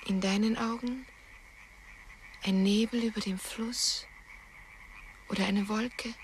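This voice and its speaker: An actress in a radio play, playing a tender, anxious young river spirit in a breathy, often half-whispered voice. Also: female voice, mid-range voice, fairly even intonation, slow, slight background noise, close to an everyday voice. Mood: dreamy, hushed.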